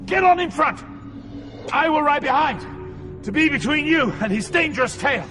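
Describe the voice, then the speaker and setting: An actor playing a commanding, protective poet guide through hell, declaiming with a raised voice in an audio drama. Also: male voice, very high voice, animated, moderate pace, sharp tone, soft background music, heavily acted. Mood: urgent command.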